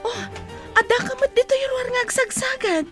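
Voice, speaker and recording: A woman's voice: very high voice, a little fast, over music. A woman playing a cautious, secretive young household helper in a radio comedy drama, earnest and urgent.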